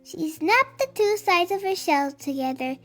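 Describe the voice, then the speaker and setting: Very high-voiced woman reading a children's story. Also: faint music behind. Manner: deliberate, animated storytelling. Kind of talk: expressive read-aloud narration for children.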